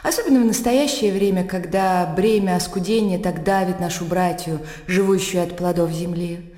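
An actress in a radio drama playing a practical, self-assured, calculating widow who runs an estate, brisk and businesslike. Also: female voice, on the low side, warm tone, lightly acted. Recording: echoey room with long reverb.

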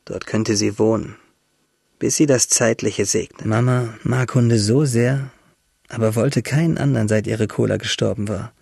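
An actor playing a wistful, tender young shipwrecked seaman in a radio drama monologue, intimate and halting, with a husky voice. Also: lowish voice, fairly even intonation, a little mellow, no room sound, studio quality. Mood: reflective. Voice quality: husky, gravelly, breathy.

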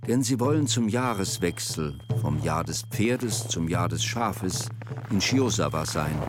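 A man narrating a radio play as a storyteller, in a deliberate, expressive manner. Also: low voice, music in the background.

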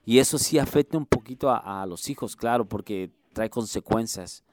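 A man from Mexico City with a Mexico City Spanish accent, a musician and music instructor answering radio interview questions in an earnest, halting way, often circling back to rephrase. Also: mid-range voice, darkish tone, dry recording.

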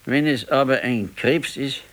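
A male actor plays an old Bavarian man in a naturalistic radio drama, a retired electrician chewing over his life. He speaks very slowly at a middling pitch, ruminating and stubborn, with a discontented streak. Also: fairly bright tone, natural voice. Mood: stubborn, brooding. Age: older. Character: brooding, discontented old pensioner, former electrician, husband. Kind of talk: 1970s naturalistic dialect radio play.

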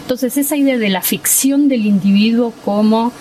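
A woman giving reflective, academic political commentary as a guest, measured and full of small hesitations. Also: on the high side, warm tone, a little hiss or hum. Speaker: guest speaker.

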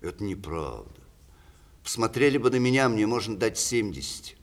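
An ageing, witty playwright in a classic radio play. His delivery is declamatory and ironic, swinging widely in pitch as he pronounces on the world with strong opinions. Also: big pitch swings, moderate pace. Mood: wry, reflective. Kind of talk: 1960s Russian theatre radio play acting.

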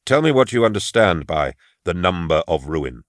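A man voicing a young male interrogator, measured and firm in his questioning. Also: deep voice, big pitch swings, no echo, studio quality.

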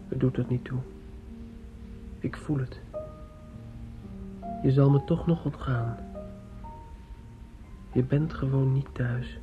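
A man's voice: medium pitch, narrow, tinny audio, lightly acted. An actor playing a lovesick, brooding young medical student in a Dutch radio play, earnest and poetic in his pleading. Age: young adult. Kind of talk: Dutch radio drama acting. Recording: radio play with music behind.

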